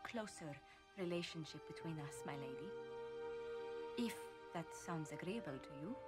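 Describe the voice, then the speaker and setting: A woman's voice: low-pitched, lively intonation, moderate pace, over loud music. An actress playing a polite female ambassador who stammers formally when surprised by a confession. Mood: flustered. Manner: formal, flustered, stammers when caught off guard. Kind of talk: video game dialogue.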